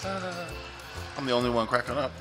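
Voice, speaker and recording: A man's voice: medium pitch, lively intonation, fairly quick, over music. A man, reviewing food in a casual, joking vlog while eating at a restaurant. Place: restaurant dining room, indoors.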